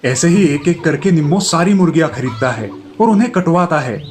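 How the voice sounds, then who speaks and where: A man narrates a scripted children's tale as the storyteller. His voice sits a little high for a man. Light music runs behind him. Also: lively intonation, a little fast, a little mellow.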